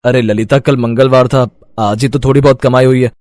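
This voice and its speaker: An actor playing a weary, henpecked village food vendor in a story cartoon, expressive and given to grumbling and pleading. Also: male voice, medium pitch, a little fast, no echo, clean studio sound, close to an everyday voice. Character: weary, henpecked village chicken-lollipop street vendor husband. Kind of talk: animated moral-story voice acting.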